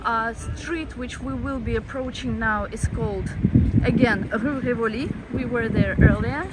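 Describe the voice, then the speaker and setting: A woman with a slightly high voice talks slowly and chattily as she walks a city street on a live stream. Traffic and street noise come through clearly behind her. She speaks into a handheld phone. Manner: chatty, reads out chat comments. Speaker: travel vlogger. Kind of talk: live-stream walking tour.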